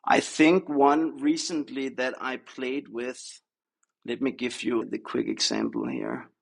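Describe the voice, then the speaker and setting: A man's voice: medium pitch, lively intonation, slow, gravelly. A man with a Danish accent, a native Danish speaker, chatting casually as an interview guest. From Denmark. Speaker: podcast guest, startup co-founder.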